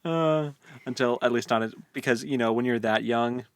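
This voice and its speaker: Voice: medium pitch, moderate pace, clear, resonant tone. A podcast co-host casually discussing a book, retelling it in an informal, wry way.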